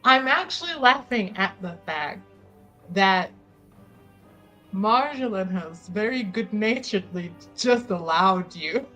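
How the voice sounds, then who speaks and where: A woman's voice: mid-range voice, lively intonation, slow, a bit bright, soft background music, low-quality recording. A woman in casual banter during a tabletop roleplaying game, with a teasing, blunt way of talking.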